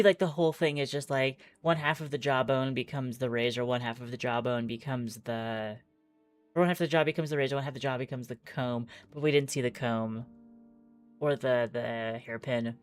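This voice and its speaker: Female game streamer, low register, chatty reader who thinks aloud; slightly roomy sound, faint music underneath. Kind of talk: game playthrough commentary, reading aloud.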